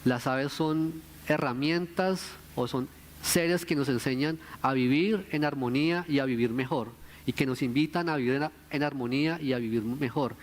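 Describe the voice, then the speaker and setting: A man with a Colombian accent speaking earnestly and deliberately at a conference, giving a talk and answering questions. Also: mid-range voice.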